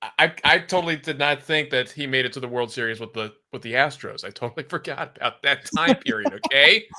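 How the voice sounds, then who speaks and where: Very bright-voiced male sports co-commentator. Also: medium pitch, brisk. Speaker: color commentator. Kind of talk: casual sports banter.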